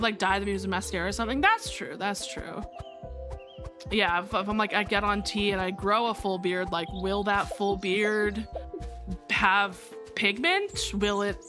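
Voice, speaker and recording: A woman's voice: medium pitch, bright, forceful tone. A young adult woman streaming a game, rambling candidly in casual chat, swearing freely and restarting her sentences often. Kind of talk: casual chat while gaming. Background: faint background music.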